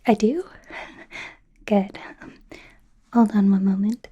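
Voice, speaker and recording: A young woman voicing an awkward, flustered female werewolf student in a soft, whispery ASMR roleplay, stammering and rambling nervously. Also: medium pitch, steady tone, slow, muffled recording. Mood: flustered, curious. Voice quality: soft, breathy, often whispery. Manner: nervous, stammering, rambling, indignant when embarrassed.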